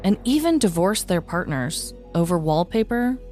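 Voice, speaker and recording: A woman co-hosting a comedy podcast, casual and playfully expressive as she chats and tells stories. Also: fairly low pitch, normal speed, faint music behind. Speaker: podcast co-host. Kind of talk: casual comedic chat and storytelling.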